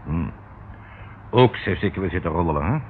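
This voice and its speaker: A man's voice: low voice, animated, moderate pace. An actor in his fifties playing a coaxing, evasive ship captain in a radio drama. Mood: coaxing, secretive. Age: 50s. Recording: tinny band-limited old radio recording, noisy.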